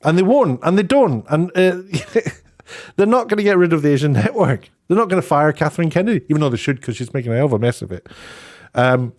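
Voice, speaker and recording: A man giving informal, opinionated commentary in an emphatic, halting, sardonic way. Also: a little high, sing-song, darkish tone. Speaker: online commentator.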